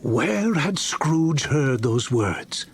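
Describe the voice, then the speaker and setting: A man narrating a dramatized story in a solemn, expressive manner. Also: a little high, very slow, a little hiss or hum.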